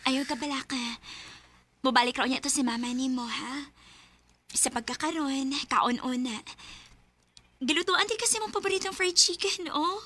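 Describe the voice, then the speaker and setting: An actress playing an emotional, tender young wife in a Filipino radio drama, with a Cebuano accent. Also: female voice, high voice, normal speed, long pauses, bright, forceful tone, no room sound. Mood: motherly, coaxing. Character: hurt, loving young wife accepting her husband's child.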